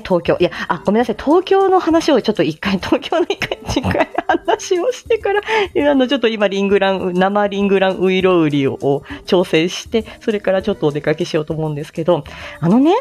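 A woman's voice: mid-range voice, darkish tone. A woman chatting casually on a morning livestream in a polite, giggly, talkative way. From Japan, outside Tokyo. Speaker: livestream host, amateur voice drama performer.

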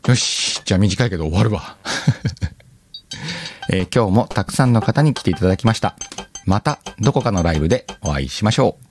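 A man's voice: on the low side, expressive, brisk, pauses often, music in the background. A man hosting a casual solo audio show, reading out listener letters and chatting to himself with self-deprecating banter and frequent laughter.

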